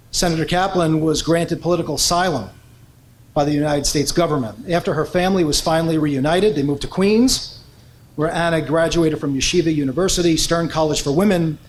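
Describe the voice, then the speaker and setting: A man's voice: a little high, slight background noise. A man introducing honorees at an awards evening, reading prepared biographies in a formal way with frequent "uh" fillers.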